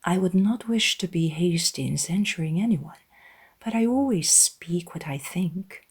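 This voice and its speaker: A woman voicing a gentle, modest young gentlewoman, soft-spoken and earnest, in a dramatized audiobook. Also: fairly low pitch, animated, measured pace, husky, full character voice.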